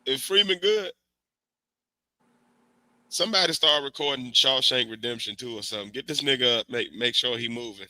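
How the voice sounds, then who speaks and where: A man's voice: mid-range voice, normal speed, long pauses, dry recording. A man hosting a podcast in casual, slangy chat, talking through a cold. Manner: loose, animated, slangy banter. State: has a cold. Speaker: podcast host. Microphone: remote stream mic.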